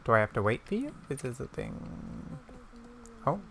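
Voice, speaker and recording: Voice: medium pitch, big pitch swings, normal speed, vocal fry, fairly bright tone, slight background noise. A host giving casual gameplay commentary, with scattered, playful asides. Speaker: gaming video host.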